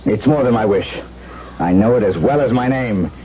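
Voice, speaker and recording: An actor as a deep-voiced lovestruck gentleman. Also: lively intonation, moderate pace, close to an everyday voice. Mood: eager, smitten. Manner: romantic, florid, intense. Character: ardent, lovestruck gentleman telling his own tale. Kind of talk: 1970s radio drama acting and dramatic narration. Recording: dull 1970s radio broadcast.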